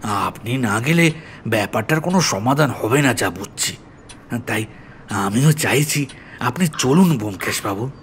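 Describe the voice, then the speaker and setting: A man's voice: mid-range voice, expressive, a little slow, a little hiss or hum, close to an everyday voice. A man playing an earnest, deferential police officer in an audio story, explaining things politely and seriously. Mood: grave.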